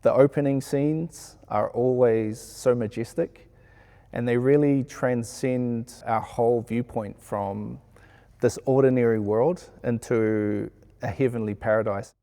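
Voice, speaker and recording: A man with a New Zealand accent speaks reflectively, as if answering an interview. His voice is mellow and relaxed, with a creaky, gravelly edge. He sounds earnest and measured. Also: medium pitch. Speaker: sound engineer being interviewed.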